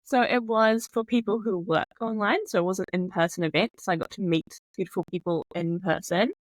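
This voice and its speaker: A woman's voice: mid-range voice, fairly even intonation, normal speed, dry recording. A young woman from New Zealand with a New Zealand accent, a podcast guest talking openly in a rambling way, full of "like". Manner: open and rambling, many fillers like "like". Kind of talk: casual conversational chat.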